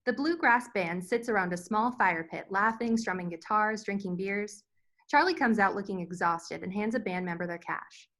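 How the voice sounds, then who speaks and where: A woman's voice: mid-range voice, a bit bright. A woman reading screenplay stage directions as scripted narration for a table read.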